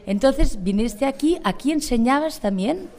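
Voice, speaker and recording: Mellow-voiced woman interviewer, hesitant. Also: high voice, animated, moderate pace, soft background music. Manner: hesitant, many fillers and restarts. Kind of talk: casual interview.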